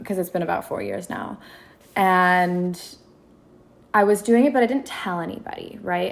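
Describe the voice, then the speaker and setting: A woman in her twenties from Minneapolis talks through her story as a podcast guest. Her voice sits low and turns gravelly. She is chatty and restarts her sentences often. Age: 20s. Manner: chatty, many restarts and fillers. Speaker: guest content creator. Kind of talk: casual interview chat.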